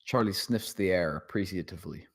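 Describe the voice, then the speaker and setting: A man's voice: low voice, fairly quick. A man reading stage directions as narrator at a screenplay table read.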